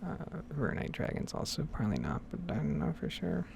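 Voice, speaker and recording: A woman giving whispered and soft-spoken ASMR game commentary in a breathy, rambling, unhurried way. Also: very deep voice, wildly swooping pitch, highs cut off, background noise. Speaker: gaming ASMR video creator.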